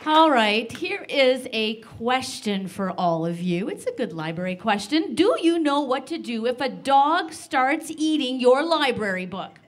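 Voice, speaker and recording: High-voiced woman presenting live on stage. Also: very animated, unhurried, hardly any pauses. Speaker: live radio variety show host. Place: large library room with seated audience. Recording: live event with clear audience noise. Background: audience sounds.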